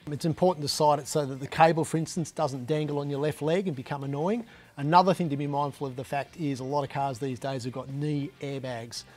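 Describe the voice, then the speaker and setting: A man's voice: fairly high pitch, a little mellow. A man in his fifties with an Australian accent, presenting a casual how-to in a laid-back, matter-of-fact, colloquial way. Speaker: presenter of DIY car videos. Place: garage shed and inside a parked vehicle.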